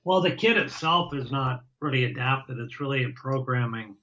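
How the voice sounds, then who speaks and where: A man talking casually as an interview guest, halting and restarting often as he explains how he plays drums. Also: medium pitch, bright, forceful tone, dull audio. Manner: halting, many restarts and fillers. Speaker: guest drummer.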